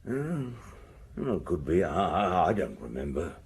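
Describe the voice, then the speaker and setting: Gravelly, rambling male barfly. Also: sits low, big pitch swings, heavily acted. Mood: vague, hesitant. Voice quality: husky, gravelly. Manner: halting, rambling, vague. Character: boozy, rambling male barfly with a hazy memory. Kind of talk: radio drama acting.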